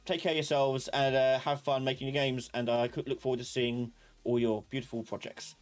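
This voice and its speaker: A man with a mid-pitched voice hosts a live tutorial stream in an informal, unscripted way. He rambles a little and thinks aloud as he goes, addressing his viewers directly like a casual instructor. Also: little pitch movement, a little fast, soft background music. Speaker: live class instructor and channel host.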